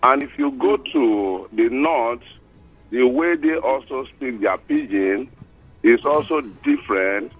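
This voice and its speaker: A man with a Nigerian accent calling a radio show, speaking in a measured, hesitant way. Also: mid-range voice, lively intonation, dry recording. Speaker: caller. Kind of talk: casual phone-in chat. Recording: tinny phone line with music under.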